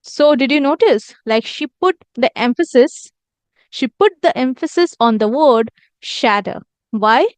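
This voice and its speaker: Woman, high-pitched, smooth clear tone, emphatic explanatory teacher delivery, repeats key phrases. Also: lively intonation, a little slow, no room sound. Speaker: English communication teacher. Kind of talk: instructional lesson with demonstrated lines.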